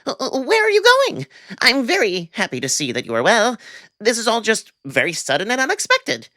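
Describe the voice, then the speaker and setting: Man voicing a highly animated, prying alien cat man. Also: normal speed, dry recording, clean studio sound, full character voice. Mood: flustered.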